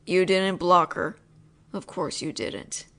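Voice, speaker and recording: A woman's voice: lowish voice, slow, gravelly, a bit bright. A woman acting out a one-sided audio roleplay as a frustrated, possessive roommate talking straight to the listener. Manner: frustrated, insistent, teasing. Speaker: voice actress playing a possessive roommate.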